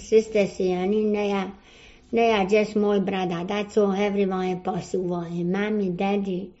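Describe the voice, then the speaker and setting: An older woman from Croatia, a native Croatian speaker with a Croatian accent, answering interview questions in halting broken English and often searching for words. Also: mid-range voice, flat delivery, mellow. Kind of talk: oral history interview. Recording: dull lo-fi recording.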